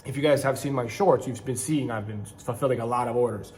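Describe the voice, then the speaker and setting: A man's voice: mid-range voice, fairly quick, darkish tone. A middle-aged man from Ukraine who hosts a channel, talking casually to camera in a rambling way and often correcting himself mid-sentence. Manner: rambling, self-correcting, conversational with frequent restarts. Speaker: channel host, online seller and manufacturer.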